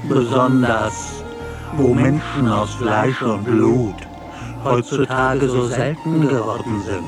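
A man's voice: medium pitch, slow, loud music behind the voice, lightly acted. A man acting as a cruel, gloating android count who hunts humans, in a German radio play.

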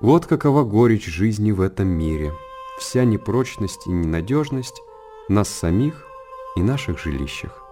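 An actor playing a sorrowful, contemplative narrator in a radio drama, speaking in a measured, declamatory way. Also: male voice, fairly low pitch, sing-song, over music. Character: sorrowful male narrator musing on life's impermanence.

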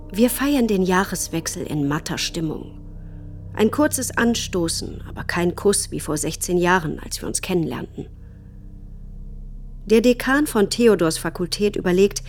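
An actress as a weary, worried wife. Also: female voice, medium pitch, a little mellow, natural voice. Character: weary, anxious professor's wife. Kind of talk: radio drama.

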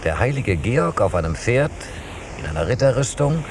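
An actor playing an inquisitive man who questions and explains in a deliberate, didactic way in a radio drama. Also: fairly low pitch, very animated, background noise.

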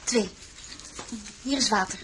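A woman's voice: medium pitch, slow pace, a bit bright, a little hiss or hum. A woman playing an empress's young, worried and dutiful daughter in an audio drama. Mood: subdued, helpful. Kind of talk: Dutch audio drama acting.

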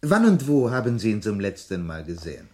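A man acting in a German radio drama as a courteous Italian police lieutenant who questions formally and deliberately. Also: on the low side, sing-song, warm tone. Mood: formal, probing.

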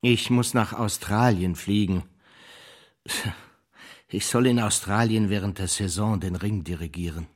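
An actor playing a courteous, self-assured conductor in a radio drama, speaking formally with a husky voice. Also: male voice, on the low side, a little slow. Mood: polite, firm. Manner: formal, polite.

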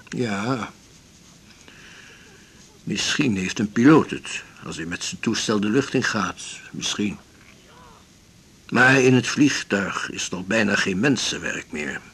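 Weary, husky old seaman. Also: mid-range voice, fairly bright tone, heavily acted. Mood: wistful, weary. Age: 70s or older. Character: elderly widowed retired seaman, weary, blunt, resolute. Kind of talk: 1980s Dutch radio drama acting.

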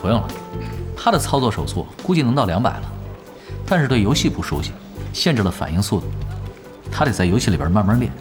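Quick, cocky laid-back gamer guy. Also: lowish voice, sing-song. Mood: self-assured. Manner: casual, teasing, self-assured. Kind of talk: audio drama acting. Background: music behind the voice.

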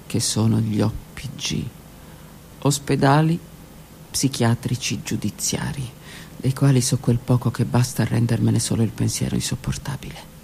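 A middle-aged woman, a stage actress, performing a dramatic monologue that swings from hushed whispers to raised declaiming. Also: very deep voice, gravelly, slight background noise, rough recording. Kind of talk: theatrical monologue. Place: radio studio.

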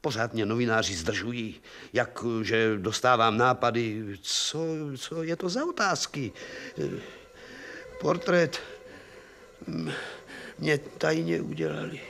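A man playing an irascible, absent-minded zoology professor in a radio play, halting and correcting himself as he blusters. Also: medium pitch, a bit bright, close to an everyday voice. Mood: irritated, muddled.

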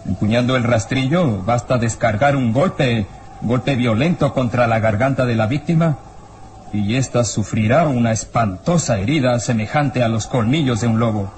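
A man acts as a grave police inspector in a radio drama of the old days. His medium-pitched voice is declarative and dramatic, driving each point home. The recording is plainly noisy. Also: moderate pace, natural voice. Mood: emphatic. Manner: declarative, dramatic, demonstrative delivery. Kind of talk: old radio serial acting.

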